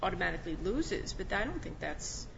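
A woman's voice: on the low side, sing-song, brisk. A woman speaking as an appellate judge, questioning a lawyer in an assertive, probing, argumentative way. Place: courtroom. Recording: muffled courtroom recording with clear background noise.

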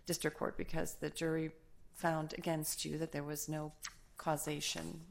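Low, gravelly woman judge. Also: flat delivery, slight background noise. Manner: measured and direct. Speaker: presiding appellate judge. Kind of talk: courtroom questioning. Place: large courtroom.

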